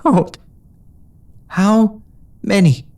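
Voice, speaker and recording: A man's voice: high-pitched, extremely slow, a little mellow, pitched above the performer's own voice, exaggerated voice. A man voicing a young, fierce pegasus mare in a storybook, her voice choked and stubborn. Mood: defiant. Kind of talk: storybook reading.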